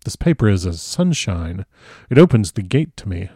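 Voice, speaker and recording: A man's voice: lowish voice, sing-song, normal speed, dark tone, husky, dry recording, studio quality, heavily acted. Man playing courtly, effusive old foreign professor. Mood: grateful, solemn. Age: older. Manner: formal, effusive, foreign-styled broken phrasing.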